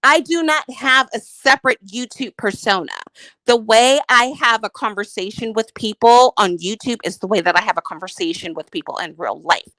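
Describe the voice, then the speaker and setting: A woman's voice: a little high, normal speed, a bit bright, dry recording, low-quality recording. A married woman defending herself in a heated, profane livestream argument, emphatic and defensive. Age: adult, married. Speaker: YouTube commentator.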